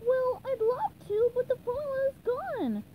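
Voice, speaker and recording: Woman as excitable young male cartoon sponge, very high pitch, sweet, earnest, storybook character. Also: normal speed, muffled recording, a little hiss or hum. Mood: cheerful, eager. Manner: pleading, earnest, exclaiming.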